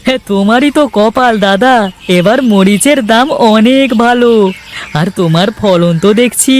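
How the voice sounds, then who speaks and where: A man's voice: sits high, moderate pace, slight background noise, close to an everyday voice. A man playing a gossipy village neighbour in a cartoon, chatty and confiding, with a cautioning manner. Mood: nosy, chatty. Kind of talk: Bangla cartoon voice acting.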